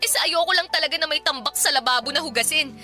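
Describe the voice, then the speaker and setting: A woman's voice: sits high, fast talker, bright, forceful tone, faint music behind. A woman playing an exasperated, nagging young wife and mother in a Tagalog radio drama, complaining emphatically.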